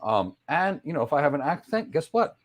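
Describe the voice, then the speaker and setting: A man's voice: on the high side, brisk, slight background noise. A man of Greek background hosting a casual interview, telling emphatic stories. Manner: animated, emphatic storyteller. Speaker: host.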